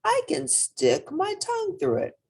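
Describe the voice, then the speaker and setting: A woman's voice: very high-pitched, huge pitch swings, a little slow, creaky, a little mellow, pitched above the performer's own voice, exaggerated voice. A woman reading a storybook as a young girl rabbit, childlike, questioning and stubborn. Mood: curious. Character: curious young girl rabbit with loose tooth.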